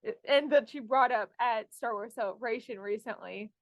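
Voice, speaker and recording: A woman's voice: on the high side, normal speed, dry recording. A woman hosting a podcast, telling anecdotes in a chatty, enthusiastic fan style. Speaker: podcast host. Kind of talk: casual fan chat and anecdotes.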